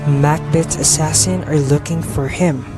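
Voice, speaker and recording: A man's voice: a little high, fairly even intonation, unhurried, music in the background. A man reading scripted story narration for an amateur radio drama.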